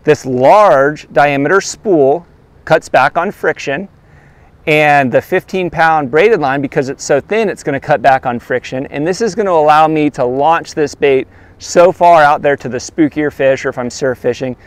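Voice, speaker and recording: A man in his thirties, a fishing guide, showing his gear outdoors by a lake and talking straight to the camera in a relaxed, practical way. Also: on the high side, moderate pace, dry recording. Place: outdoors by a lakeshore. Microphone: lapel mic.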